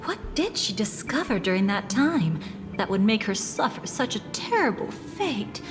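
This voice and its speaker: An actress playing a composed, enigmatic woman who guides a listener through memories in a video game, speaking in a measured, cryptic way. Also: mid-range voice, sing-song, lightly acted. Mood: probing. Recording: long reverb under clear music.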